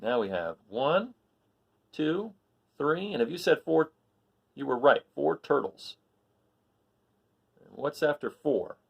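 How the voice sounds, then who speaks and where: A man reading a picture book aloud to children, pausing to count along and quiz his young listeners. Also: a little high, very animated, creaky, slightly rough, muffled recording.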